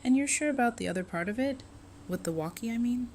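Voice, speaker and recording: A woman playing a supportive, level-headed friend in an audio drama, with a measured conversational delivery. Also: a little high, lively intonation, darkish tone, a little hiss or hum. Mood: wry, questioning.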